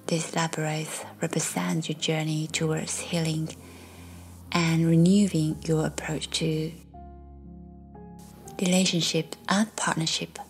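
A woman guiding a meditation in a soft, breathy, half-whispered voice, speaking gently in measured short phrases with long pauses. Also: sits low. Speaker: meditation guide. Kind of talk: guided meditation, slow scripted narration. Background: faint background music.

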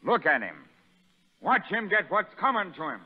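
An actor playing a sadistic, vengeful prison sergeant who taunts and barks orders in a 1930s radio drama. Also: male voice, high voice, very animated, moderate pace, slightly rough, exaggerated voice. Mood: furious, bullying. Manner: menacing, taunting, barking orders. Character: sadistic, vengeful male prison sergeant bullying new convicts. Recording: narrow muffled 1930s radio broadcast, reverberant.

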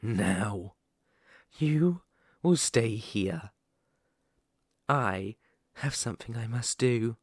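A man voicing a sadistic, possessive werewolf captor in an ASMR roleplay, speaking in a deliberate, condescending, threatening way. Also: fairly low pitch, expressive, husky, no room sound, clean studio sound, exaggerated voice. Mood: controlling, cold.

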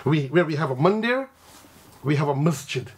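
Husky, effusive older Guyanese man. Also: on the high side, expressive, moderate pace. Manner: effusive, florid praise. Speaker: religious community representative. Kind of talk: studio panel discussion. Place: TV studio.

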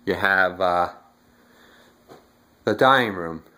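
A young man giving a casual walking tour of his house, relaxed and halting with lots of fillers, recorded on a handheld phone indoors. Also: low-pitched, slight background noise. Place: inside a house, walking room to room. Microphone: handheld phone camera, filming rooms.

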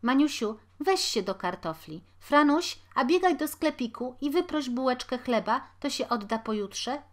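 A woman reading aloud gives voice to a poor middle-aged washerwoman who talks loudly and high. She scolds and laments in a rushed, anxious way, typical of a storybook mother worn down by need. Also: animated. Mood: fretful. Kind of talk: audiobook character voice.

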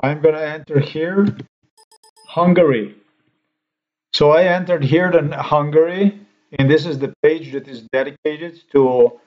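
Indignant man ranting, lo-fi. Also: fairly high pitch. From Slovenia. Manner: indignant, halting, swears. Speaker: commentator. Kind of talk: ranting screen-recorded commentary.

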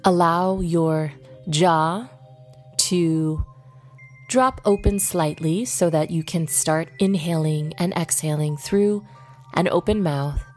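A woman leading a guided meditation in an unhurried way, pausing between short phrases. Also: low-pitched, faint music behind.

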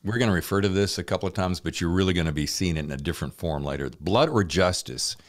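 A man's voice: low-pitched, fast. A middle-aged American man hosting a podcast in an upbeat, presenter-like style. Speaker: podcast host and thriller author. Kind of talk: upbeat scripted podcast narration and interview hosting.

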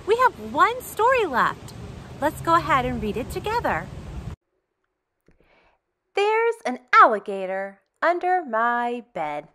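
A woman hosting a storytime for young children, reading and chatting in an animated, expressive, deliberate way. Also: high voice. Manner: animated, expressive, deliberate phrasing with dramatic hushes. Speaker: storytime host. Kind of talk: children's read-aloud, addressing young children.

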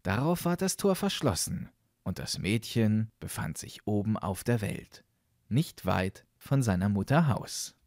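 A male storyteller reads a fairytale in a dry recording. His delivery is unhurried and deliberate, with a lively, expressive melody. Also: fairly low pitch, dry recording. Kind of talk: fairytale audiobook narration.

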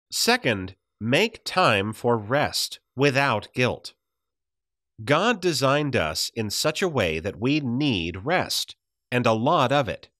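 A man reading a scripted devotional audiobook in a measured, deliberate way. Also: mid-range voice, a bit bright, no echo, studio quality. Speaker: audiobook narrator.

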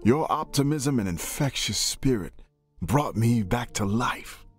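Actor as a proud, affectionate older brother. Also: male voice, medium pitch, lively intonation, measured pace, lightly acted. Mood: proud, hopeful. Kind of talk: scripted dramatic letter narration. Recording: music under the voice.

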